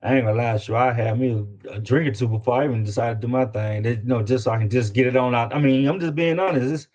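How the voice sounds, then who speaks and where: A man's voice: mid-range voice, steady tone, fast, darkish tone. A man chatting casually as an interview guest, informal and often repeating words as he searches for phrasing. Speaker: guest, independent rapper. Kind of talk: casual chat.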